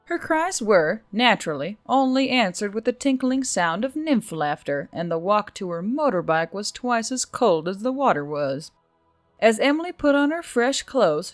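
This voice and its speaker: A woman's voice: medium pitch, no echo. A woman reading scripted fantasy fiction as an audiobook narrator.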